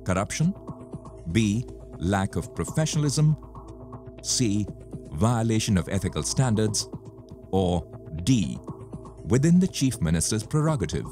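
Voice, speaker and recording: Male quiz show host, low pitch, lively intonation, measured question reading, music underneath. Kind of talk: scripted quiz show presenting.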